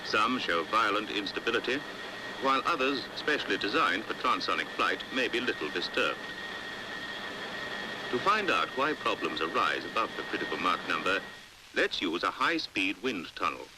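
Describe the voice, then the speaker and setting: A British man narrating a 1950s educational film in a measured, precise, didactic way, on an old hissy soundtrack. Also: lowish voice, lively intonation. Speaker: documentary narrator. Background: faint hiss.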